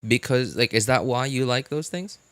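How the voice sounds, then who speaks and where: A man casually chatting as a live-stream host, answering viewers in a halting way and repeating his phrases. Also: mid-range voice, dry recording. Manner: halting, repeats phrases, animated incredulous reactions.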